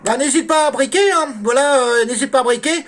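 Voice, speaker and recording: High-voiced man chatting on radio. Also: a little fast, over a noisy crowd. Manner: frequent "hein" and "là" tags. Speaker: amateur radio operator. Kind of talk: casual radio contact chat. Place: at home radio station. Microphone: desk microphone through a mixer.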